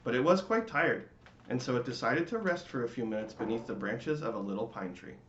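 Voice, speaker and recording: A man giving a dramatic, theatrical reading of a children's storybook in measured phrases. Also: mid-range voice, a bit bright, roomy sound, lo-fi sound, a little hiss or hum.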